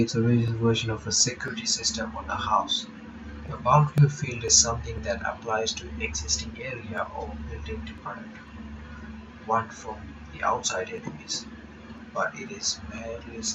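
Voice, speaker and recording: A man reading a visual novel aloud, with halting reading broken up by quick reactions of his own. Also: lowish voice, distant mic, background noise. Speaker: reaction channel host. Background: game music playing.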